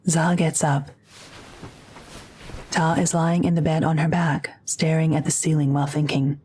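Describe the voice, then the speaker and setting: A woman narrates a drama from a script, describing action in the present tense. Her pitch is somewhat low and moves little, and there is slight noise in the recording. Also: flat delivery, unhurried, darkish tone.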